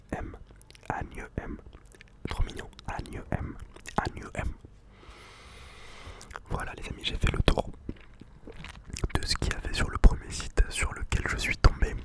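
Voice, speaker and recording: A man whispering softly and close for ASMR, slowly repeating foreign words with casual slang. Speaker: ASMR creator.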